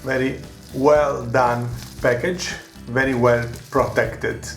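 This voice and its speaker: Italian guy going over a radio kit really slowly, lots of uhs, echoey room with faint music. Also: medium pitch, fairly even intonation. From Italy. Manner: slow, careful, many filler sounds. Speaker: hobbyist presenter.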